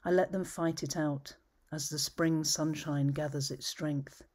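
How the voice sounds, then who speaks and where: A woman reading her own poems at home, measured and thoughtful, often trailing into a whisper. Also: low voice, steady tone, darkish tone. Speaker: poet. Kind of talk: poetry reading. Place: home study with bookshelves, indoors.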